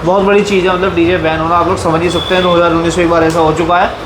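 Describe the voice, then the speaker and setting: Man, interviewer, casual delivery, agreeable manner, slightly high voice, reverberant room with clear noise. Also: rapid-fire. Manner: agreeable, frequent short affirmations. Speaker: host and interviewer. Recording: echoey room with steady background noise.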